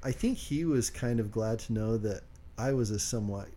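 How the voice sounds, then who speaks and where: A man co-hosting a casual podcast, speaking quietly and hesitantly with lots of fillers. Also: lowish voice, moderate pace. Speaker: podcast co-host.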